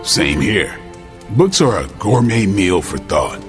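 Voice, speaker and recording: A man's voice: lowish voice, big pitch swings, takes it slowly, faint music behind. A man acting as a wry, thoughtful hero who leads a group in a video game cutscene, speaking conversationally. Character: wry, thoughtful male hero leading a book club.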